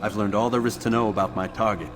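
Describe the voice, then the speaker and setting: Male actor as disciplined assassin, very low pitch, stoic, formal, measured, video game cutscene, music behind. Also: steady tone, close to an everyday voice. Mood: focused. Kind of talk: scripted game cutscene acting.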